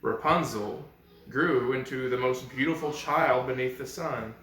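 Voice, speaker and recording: A man narrating a fairy tale as a storyteller, with expressive, dramatic and deliberate phrasing. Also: medium pitch, slight background noise. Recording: echoey, roomy sound.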